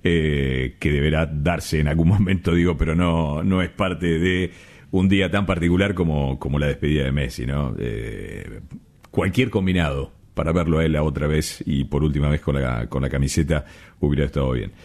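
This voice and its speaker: An Argentine man hosting a radio show, chatting and joking quickly. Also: very deep voice. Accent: Argentine Spanish. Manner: lively, joking, quick banter. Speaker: radio host. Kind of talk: casual studio chat.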